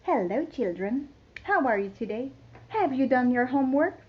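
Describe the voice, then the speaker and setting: A woman hosting a children's storytime, reading a picture book in a playful, expressive sing-song way for very young children. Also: high voice, normal speed, highs cut off. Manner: imitates animal sounds.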